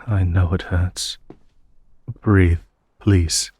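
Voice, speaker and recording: A man playing a courteous, solemn demon emperor in a fantasy audio roleplay, speaking gently and formally with a husky voice to reassure the listener. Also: deep voice, steady tone, a little hiss or hum. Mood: gentle urgency. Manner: formal, measured, reassuring, polite phrasing.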